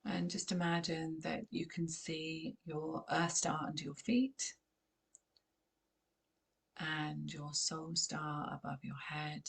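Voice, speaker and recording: A woman with a croaky voice, gently guiding a channeled meditation in a calm, unhurried way. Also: low-pitched. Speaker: spiritual channeler and host.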